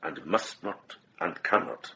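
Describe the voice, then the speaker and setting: An actor playing a shrewd, compassionate middle-aged monk in a radio drama, speaking with grave, measured deliberation. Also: male voice, a bass voice, expressive, vocal fry, sharp tone, full character voice. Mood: grave, accusing.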